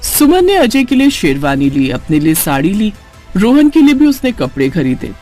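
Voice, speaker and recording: High-pitched, animated male story narrator. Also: moderate pace, soft background music. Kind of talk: scripted cartoon story narration.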